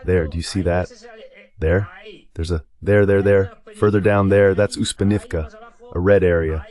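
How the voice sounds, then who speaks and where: A man's voice: low voice, fairly even intonation, normal speed, darkish tone. A man's synthetic dubbed voice giving explanatory commentary over a map, as a guest analyst, often repeating phrases. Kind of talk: live map-based war commentary.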